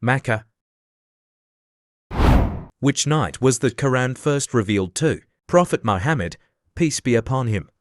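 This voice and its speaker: A man hosting a quiz, reading out questions and answers in a plain, measured way. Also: mid-range voice. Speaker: quiz host. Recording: clear steady background noise.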